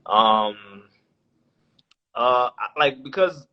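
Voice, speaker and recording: Guest, male, late 20s, rambling casual talker, mid-pitched voice, dull lo-fi audio. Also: expressive, very slow. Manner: rambling, many fillers and restarts. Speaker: podcast guest. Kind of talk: casual podcast conversation. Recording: dull lo-fi sound.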